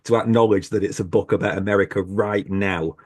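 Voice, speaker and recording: A man's voice: on the low side, fairly even intonation, brisk, a little mellow. A man on a panel, speaking in an earnest, opinionated way. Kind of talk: casual panel discussion.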